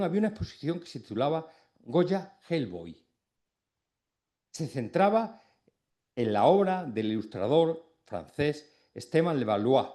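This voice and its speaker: Middle-aged man from Extremadura, formal lecture delivery, reflective and paused, very lively pitch. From Extremadura, Spain. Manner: reflective, with pauses between phrases. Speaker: academic giving an induction speech. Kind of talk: formal academic speech.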